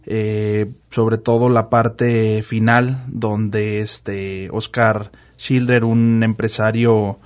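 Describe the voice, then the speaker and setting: A man in his thirties with a Mexican Spanish accent, a political candidate answering questions in a radio studio booth, talking quickly with many fillers. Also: on the low side, level tone. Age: 30s.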